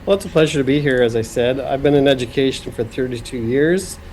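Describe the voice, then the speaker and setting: A man's voice: medium pitch, fast talker, darkish tone, a little hiss or hum, rough recording. A man in his fifties from Iowa, speaking as a podcast guest over a video call in a plainspoken, friendly way with light humor. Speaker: guest, school superintendent. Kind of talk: podcast interview.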